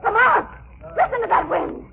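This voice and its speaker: An actress playing a sleuth's excitable, anxious female companion, exclaiming dramatically in 1940s radio style. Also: extremely high pitch, moderate pace, creaky, heavily acted. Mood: alarmed. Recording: noisy, narrow-band 1940s radio recording.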